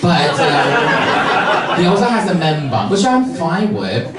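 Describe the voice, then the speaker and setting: A young man from Taiwan with a Taiwanese accent, performing a stand-up comedy set with camp, bitchy deadpan asides. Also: fairly high pitch, slow. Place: comedy club stage with a laughing crowd. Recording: echoey club, distant mic, loud crowd noise.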